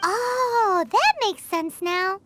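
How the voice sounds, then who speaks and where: A woman voicing a tiny childlike fairy companion in a video game, squeaky, expressive and loyal. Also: drawn-out words, exaggerated voice. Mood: curious, chirpy. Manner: refers to herself by name, expressive.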